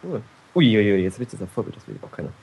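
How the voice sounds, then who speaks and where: A man's voice: on the low side, sing-song, fast, darkish tone, husky. A man co-hosting a game podcast, talking casually in a hesitant way with many restarts. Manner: hesitant, full of fillers and restarts. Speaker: podcast co-host.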